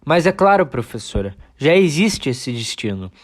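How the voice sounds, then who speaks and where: Brazilian schoolboy played by an amateur actor for radio play: precise explainer, slightly high-pitched, matter-of-fact. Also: male voice, sing-song, moderate pace. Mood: confident. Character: male student, precise, teasing classmate. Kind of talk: scripted amateur radio drama.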